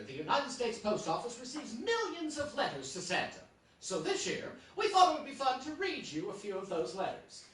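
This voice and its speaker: A man plays a showy, cheeky variety host. The voice is bright with big swings in pitch, heard far off in a very echoey theatre with faint noise. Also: full character voice. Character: showy, cheeky holiday radio show host. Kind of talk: live stage radio variety show.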